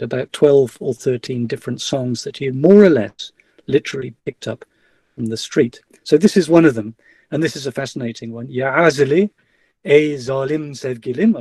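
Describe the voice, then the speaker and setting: Middle-aged male academic, mellow tone, hesitant delivery, dry webinar sound. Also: medium pitch, gravelly, slightly rough, dry recording. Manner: hesitant, many fillers and restarts. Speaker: guest lecturer. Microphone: video call.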